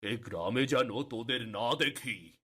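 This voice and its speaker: An actor playing a proud, harsh middle-aged village landlord father in a cartoon story, with a husky voice and commanding delivery. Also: medium pitch, slow, sharp tone, heavily acted. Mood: angry, threatening. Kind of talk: Bengali cartoon story acting.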